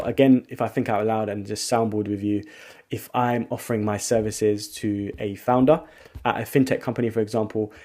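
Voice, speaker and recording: A man walking viewers through a software tool in a casual screen-share tutorial, thinking out loud with frequent restarts. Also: on the low side. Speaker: agency founder giving a software tutorial.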